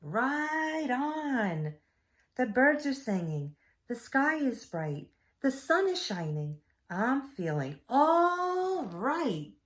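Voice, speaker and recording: A woman voicing a cheerful, laid-back storybook cat with a drawn-out, singsong delivery. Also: high voice, big pitch swings, voice pushed up, exaggerated voice. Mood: upbeat. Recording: echoey room.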